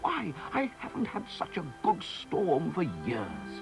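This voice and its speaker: A man's voice: fairly high pitch, huge pitch swings, takes it slowly, vocal fry, music in the background, pitched above the performer's own voice, full character voice. A man voicing a jolly, eccentric weather-house man, gleeful and hearty, in a children's storybook.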